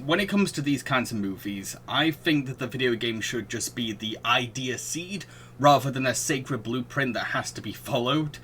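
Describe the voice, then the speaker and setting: Male podcast host, bright voice, chatty and amused, with a faint hum behind him. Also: mid-range voice. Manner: laughs often, quotes his own reactions. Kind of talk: casual podcast chat.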